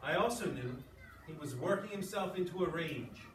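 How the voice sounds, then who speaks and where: Higher-voiced male teacher in an echoey room. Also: expressive, moderate pace. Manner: informal, checking with listeners. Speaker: sixth-grade English teacher. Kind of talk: classroom read-aloud and discussion. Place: classroom. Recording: echoey, noisy classroom, distant mic.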